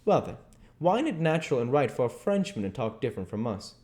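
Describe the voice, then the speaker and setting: A man's voice: mid-range voice, big pitch swings, fast, a little mellow, pitched above the performer's own voice, natural voice. A man voicing an earnest, know-it-all Southern boy in an audiobook, explaining things persuasively in country dialect. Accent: American South. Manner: earnest, persuasive, lilting questioning. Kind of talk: audiobook character dialogue.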